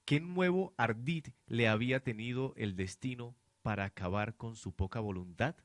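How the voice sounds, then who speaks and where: Guy narrating a radio play, medium pitch, dramatic storytelling, really dry close sound. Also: moderate pace, no echo.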